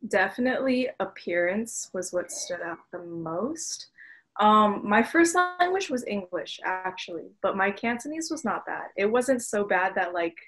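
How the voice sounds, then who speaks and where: A woman's voice: medium pitch, a bit bright, low-quality recording. A woman from Miami, a guest on a casual podcast, speaking in an easygoing way with hesitant fillers. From Miami, United States.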